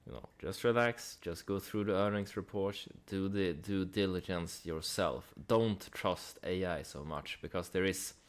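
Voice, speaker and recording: A man's voice: sits low, a little slow, hardly any pauses, clear, resonant tone. A man giving casual investment commentary in a conversational way, with rhetorical questions and dry asides. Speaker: host of a stock analysis channel.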